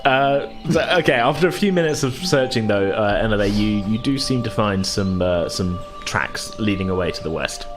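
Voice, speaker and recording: A man narrating a tabletop game as game master in a halting, hesitant way. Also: lowish voice, animated. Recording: music playing behind the voice. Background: music.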